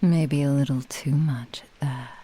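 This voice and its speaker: A woman voicing a proud, possessive, seductive fox-spirit witch in a breathy whisper, with a grandiose, intimate manner, for an ASMR roleplay. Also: rumbling low, fairly even intonation, dark tone, exaggerated voice. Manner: slow, lingering, grandiose, intimate.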